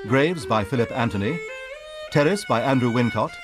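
A man's voice: medium pitch, lively intonation, moderate pace. A man working as an announcer, reading scripted cast credits. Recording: loud violin music behind. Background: violin music.

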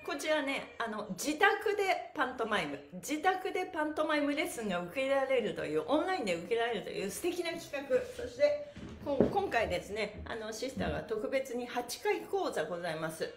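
A woman's voice: fairly high pitch, fairly quick, echoey room, a little hiss or hum. A middle-aged woman hosting an upbeat livestream exercise class, chirpy, encouraging and full of exclamations, recorded a little way from the device in a small bare room. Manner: animated, chirpy, exclaiming and encouraging, often laughing. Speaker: pantomime and stretching instructor, livestream host. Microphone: device mic a step or two away.

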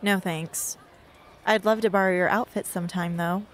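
A woman's voice: on the low side, fairly even intonation, normal speed, a faint crowd, a little hiss or hum, lightly acted. A young woman playing a blunt, guarded teenage girl in an amateur radio drama, with a terse, dry delivery. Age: young adult. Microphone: close studio microphone.

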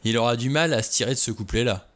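A man's voice: medium pitch, fast talker, a bit bright, lightly acted. A man reading a blunt, stubborn suspicious man. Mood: gruff. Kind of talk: audiobook reading.

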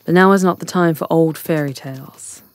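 A woman voicing an ancient, wry and wise witch in a fantasy ASMR roleplay, with measured, intimate, theatrical delivery. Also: deep voice, no room sound, lightly acted. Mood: hushed, mysterious.

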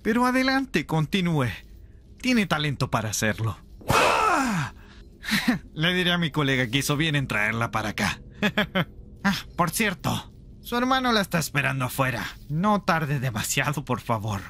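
A man puts on a fawning prison guard for an ASMR roleplay. The voice is pushed up high, gleeful and sneering. He stays mockingly polite and deferential throughout. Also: huge pitch swings, a little slow, sharp tone, a little hiss or hum, heavily acted.

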